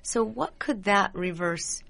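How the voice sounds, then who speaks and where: A middle-aged woman hosting an interview, asking questions and chatting casually about her own family with soft laughs. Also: mid-range voice, animated, slow pace, gravelly, dry recording. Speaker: interviewer host.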